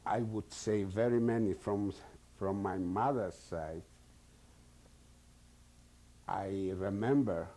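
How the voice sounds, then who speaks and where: A man in his seventies from Poland with a Polish accent, giving oral history testimony slowly and hesitantly, often searching for English words. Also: fairly low pitch, mellow. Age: 70s. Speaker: interviewee.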